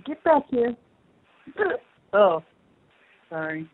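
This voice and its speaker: A woman's voice: mid-range voice, big pitch swings, extremely slow. Woman, middle-aged or older, casual family phone chat, hesitant delivery, thin telephone sound. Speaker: mother on a phone call, minding a baby. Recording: tinny, band-limited phone line.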